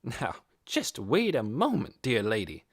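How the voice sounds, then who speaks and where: A man's voice: mid-range voice, wildly swooping pitch, a little slow, husky, exaggerated voice. A reader voicing a tall, stooped, pompous man who speaks formally and with indignation in an audiobook. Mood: haughty. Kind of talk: audiobook character voice.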